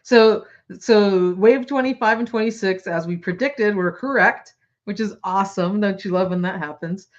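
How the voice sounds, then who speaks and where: A woman co-hosting a toy news show in casual chat, her voice hoarse and fading, giggly and enthusiastic. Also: mid-range voice, moderate pace, dull audio.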